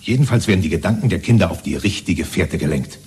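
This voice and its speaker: Very deep, husky, blustering neighbour. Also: male voice, animated, fast talker, a little mellow, heavily acted. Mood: chummy, boastful. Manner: chummy, blustering, repeatedly matey. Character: hearty, gullible, eager party-loyal neighbour and proud father. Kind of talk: 1970s German radio drama acting.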